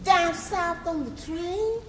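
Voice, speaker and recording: Woman acting a high-voiced, plaintive Southern child. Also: takes it slowly, a loud crowd. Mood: plaintive, innocent. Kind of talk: theatrical poetry recitation on stage. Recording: roomy hall with long reverb.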